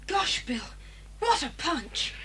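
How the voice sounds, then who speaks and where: A woman playing an excitable, plucky schoolboy adventurer with a British accent in a children's audio drama. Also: high-pitched, slow, exaggerated voice. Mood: excited. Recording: very noisy 1980s cassette, boat ambience.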